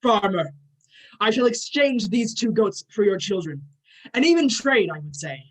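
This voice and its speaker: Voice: medium pitch, lively intonation, normal speed, lightly acted, rough recording. An amateur actor playing a grand, short-tempered male thunder god with a formal, pompous manner in a myth play reading. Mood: lordly.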